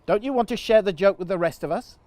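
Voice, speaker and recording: A man's voice: high-pitched, fast talker, a little mellow, no echo, voice pushed up, natural voice. A man voicing a hearty, curious older male goat in a children's storybook. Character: older male goat with big bushy beard.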